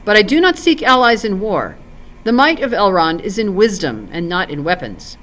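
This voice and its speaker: A woman's voice: medium pitch, very animated, normal speed, fairly bright tone. A woman voicing a proud, doubting nobleman warrior in formal, archaic storybook speech. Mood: grave, formal.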